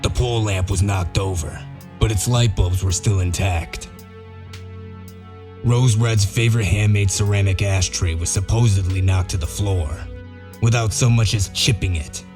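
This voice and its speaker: Here is a man acting out a gruff, shrewd wolf detective with a low voice. He lays things out methodically and with a sardonic edge, in the manner of a comic dub monologue, over faint music. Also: steady tone, moderate pace, close to an everyday voice.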